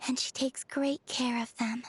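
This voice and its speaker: Slow whispery dreamy girl, high airy voice. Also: flat delivery, slight background noise. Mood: tender, earnest. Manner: soft breathy near-whisper. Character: gentle, dreamy young woman, loyal friend. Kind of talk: game voice acting.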